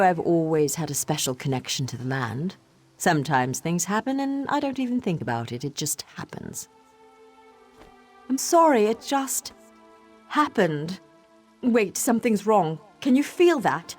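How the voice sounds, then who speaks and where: A woman's voice: medium pitch, darkish tone. An actress voicing an earnest, sheltered young elf woman in a fantasy game, emotionally open and dramatic. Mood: playful, then anxious, alarmed.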